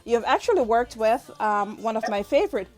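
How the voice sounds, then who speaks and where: A woman's voice: a little high, expressive, brisk. A Jamaican woman hosting a studio interview, enthusiastic and encouraging, laughing often. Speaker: interview host. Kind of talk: radio-style interview. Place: music studio.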